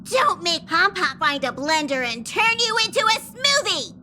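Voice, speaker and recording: A woman's voice: extremely high pitch, sing-song, moderate pace, heavy background noise. A woman voicing a small, bossy, fretful train conductor creature in a video game, with a scolding manner. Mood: indignant.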